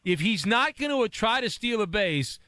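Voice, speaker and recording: A man's voice: high-pitched, very animated, moderate pace, pushed and bright, no echo. A man hosting a sports talk radio show, taking calls and laying out his take in a conversational way. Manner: conversational, explains patiently. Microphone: studio mic.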